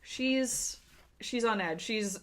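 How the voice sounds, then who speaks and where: A woman's voice: fairly high pitch, takes it slowly, fairly bright tone. A woman narrating as game master of a tabletop game, improvising in a casual, conversational way.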